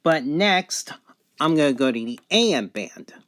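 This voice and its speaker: A man's voice: fairly high pitch, sing-song, a little slow, fairly bright tone. A man talking casually and matter-of-factly through a product demonstration, spelling out letters and numbers carefully. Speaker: product reviewer. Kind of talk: casual radio review demonstration.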